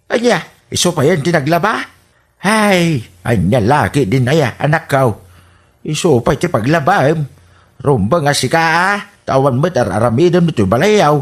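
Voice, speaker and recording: A man playing a disapproving, nagging older father in an Ilocano radio comedy drama, husky, with an Ilocano accent. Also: fairly high pitch, sing-song, moderate pace.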